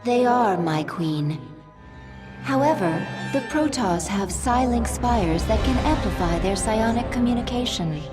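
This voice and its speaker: An actress playing a calm, dutiful female alien advisor giving briefings. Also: a little high. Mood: measured. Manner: measured briefing delivery. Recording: game cinematic with music.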